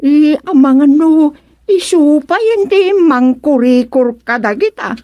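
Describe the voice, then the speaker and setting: A woman's voice: high voice, lively intonation, slow, no room sound, lo-fi sound. A woman playing a chatty, teasing village woman in an Ilocano radio comedy. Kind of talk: Ilocano radio drama acting.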